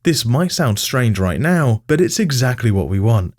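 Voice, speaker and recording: A man's voice: medium pitch, very animated, moderate pace, darkish tone. A man narrating a scripted music production tutorial as its host.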